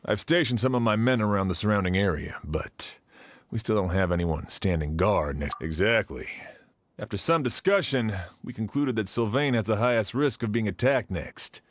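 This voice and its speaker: An actor playing a serious, businesslike sheriff in a video game, formal and authoritative. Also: male voice, fairly low pitch, animated, normal speed, no room sound, natural voice. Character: serious, businesslike male sheriff of night watch. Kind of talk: video game character acting.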